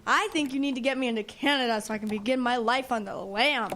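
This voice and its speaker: A woman's voice: on the high side, quick pace, fairly bright tone, a little hiss or hum, natural voice. A young female actor playing a cocky, melodramatic kid outlaw on the run in an audio drama. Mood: brash, put out.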